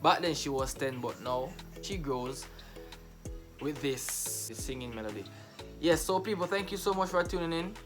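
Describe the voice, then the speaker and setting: Jamaican guy doing reaction vids, really animated and emphatic, music in the back. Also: on the high side, normal speed. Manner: exclamatory, emphatic, repeats phrases. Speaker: reaction video host. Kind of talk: casual reaction commentary.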